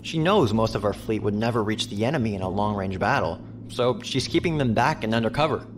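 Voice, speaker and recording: A man's voice: lowish voice, animated, a little fast, noisy background, lightly acted. A man playing a wry, seasoned mercenary spaceship captain in a science fiction audio drama, teasing and probing. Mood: thoughtful. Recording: music behind the voice.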